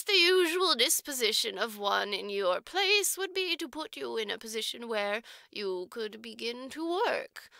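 A woman voicing a prim, condescending orphanage matron in an audiobook, with slow, formal, drawn-out delivery. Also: high-pitched, gravelly, pushed and bright, dry recording, exaggerated voice. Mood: grudging, formal. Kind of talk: audiobook character voice.

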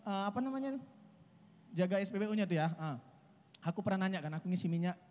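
Young guy from doing stand-up, lively and chatty, handheld mic, voice ringing out with reverb. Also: high-pitched, fast. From Medan, Indonesia. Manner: chatty, animated storytelling with acted quotes. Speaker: stand-up comedian. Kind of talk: stand-up comedy set. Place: outdoor stage at a night event.

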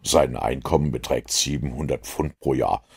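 A master detective in an audiobook, played by a male reader, with an extremely deep, gravelly voice. He lectures calmly and precisely, sure of himself and in no doubt of his conclusions. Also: exaggerated voice. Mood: calm, knowing. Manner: measured, didactic, self-assured. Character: composed, lecturing master detective, adult man.

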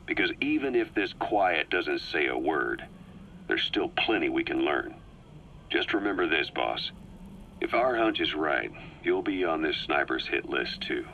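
Game role: a watchful, seasoned military intelligence man. Deep, forceful, measured delivery over faint noise. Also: big pitch swings, vocal fry, muffled recording, exaggerated voice. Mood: grave, analytical. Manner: measured, deliberate, dramatic pauses. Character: shrewd, seasoned male military intelligence officer, cool and watchful. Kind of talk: scripted game audio briefing.

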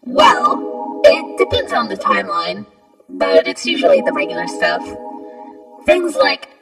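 A woman's voice: very high voice, wildly swooping pitch, unhurried, creaky, heavily acted. A woman voicing a small, murderous talking flower in a cartoon dub, sarcastic, taunting and theatrical. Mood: sinister. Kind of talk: comic dub voice acting. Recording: music behind the voice.

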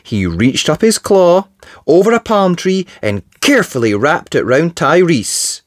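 A storybook reader narrating for young children in an expressive, theatrical, emphatic way. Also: medium pitch, a little slow, no room sound, clean studio sound. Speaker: storybook reader, channel host. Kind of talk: animated children's read-aloud narration.

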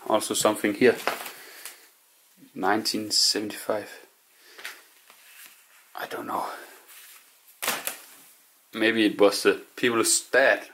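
A man with a low voice talks slowly and softly in as he picks through an abandoned house. His hushed, musing narration carries a faint hiss behind it. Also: vocal fry. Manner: hushed, musing, non-native English phrasing. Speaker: urban explorer vlogger. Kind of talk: casual narration while exploring.

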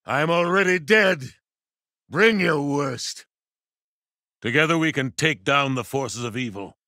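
An actor playing a grim undead antihero from hell in a video game, threatening and intense. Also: male voice, on the high side, a little slow, sharp tone, dry recording, clean studio sound.

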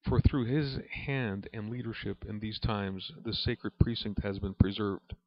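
A man reading aloud from a spiritual book as a lesson, in a measured, reverent manner. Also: on the low side. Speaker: reader and religious teacher. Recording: dull, lo-fi recording in an echoey room.

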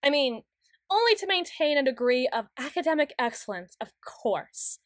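High-voiced, prim, flustered young tsundere played by an actress. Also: female voice, big pitch swings, no echo, studio quality. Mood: hesitant, tender. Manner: halting, stammering, formal and wordy. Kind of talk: ASMR roleplay, acted monologue.

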